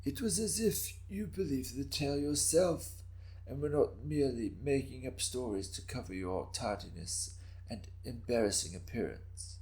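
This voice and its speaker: A man voicing a shrewd, courteous old Japanese daimyo with measured, formal speech in a dramatic reading of historical fiction. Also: medium pitch, animated, darkish tone, voice pushed up, lightly acted, low-quality recording. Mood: knowing, measured. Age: older.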